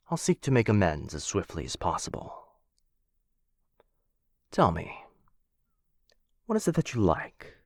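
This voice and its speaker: A man's voice: sits low, slightly rough, no echo, clean studio sound, heavily acted. A man playing a possessive, courteous dragon captor who dotes on a human pet in a boyfriend ASMR fantasy roleplay, with a coaxing, condescendingly affectionate manner. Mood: apologetic, solicitous. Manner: mock-polite, coaxing, theatrical, condescendingly affectionate.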